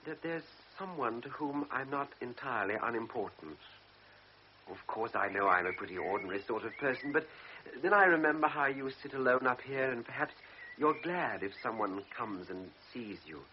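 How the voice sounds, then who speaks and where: An actor playing an earnest, somewhat awkward young cavalry lieutenant who talks politely and hesitantly. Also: male voice, medium pitch, expressive, normal speed, natural voice. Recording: dull radio drama recording with faint hiss.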